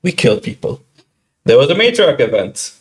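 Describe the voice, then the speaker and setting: A man tells a gaming story on a casual podcast, his pitch swinging widely as he acts out quotes and shouts. His middle-pitched voice sounds animated and chatty. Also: moderate pace. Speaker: podcast co-host. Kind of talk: casual storytelling about a video game.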